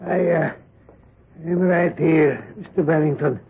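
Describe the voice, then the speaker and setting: An actor playing a nervous, evasive employee in a 1970s radio drama, hesitant and uneasy. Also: male voice, a little high, steady tone, measured pace, breathy edge, full character voice. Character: nervous, shifty male employee, evasive and sullen. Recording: muffled 1970s radio broadcast.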